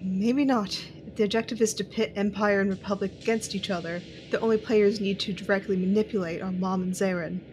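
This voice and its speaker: A woman's voice: medium pitch, fairly even intonation, a little fast, hardly any pauses, noisy background, lightly acted. A woman playing a tense, watchful young intelligence agent, narrating her own story in an audio drama. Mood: worried. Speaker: narrator and lead character. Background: music.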